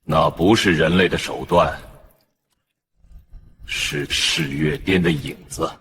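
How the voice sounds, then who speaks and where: An actor playing an aged emperor and father, grave and secretive, speaking solemnly and deliberately in a husky, gravelly voice for an animated drama. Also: rumbling low, exaggerated voice. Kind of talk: dramatic animated-series acting.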